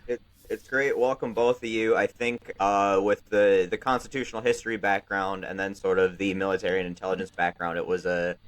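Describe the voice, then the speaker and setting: A man's voice: sits low, little pitch movement, a little fast, hardly any pauses. A man hosting a casual political podcast, sarcastic and profane, laughing between remarks. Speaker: podcast host.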